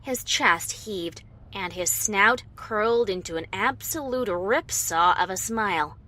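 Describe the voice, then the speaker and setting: A woman playing a wry, defiant adventurer heroine, narrating her story in the first person with expressive, dramatic delivery. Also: medium pitch, measured pace. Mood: defiant, amused.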